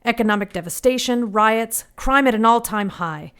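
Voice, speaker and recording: A woman acts out a zealous Mother Superior in an audio drama, with a somewhat high voice. She speaks with stern authority, grave and formal, pressing each point insistently. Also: moderate pace, fairly bright tone, clear, resonant tone, natural voice.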